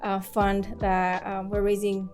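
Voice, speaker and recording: Woman from Mexico, community organizer, mellow warm tone, halting with many ums, faint music behind. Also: mid-range voice, monotone. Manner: halting, many fillers and restarts. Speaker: community land trust organizer. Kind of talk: casual interview talk.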